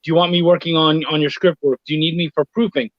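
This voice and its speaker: A man's voice: a little high, little pitch movement, quick pace, no echo. A middle-aged man chatting casually as an interview guest, telling anecdotes and acting out bits of dialogue, on lo-fi livestream audio. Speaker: guest, freelance editor and writer.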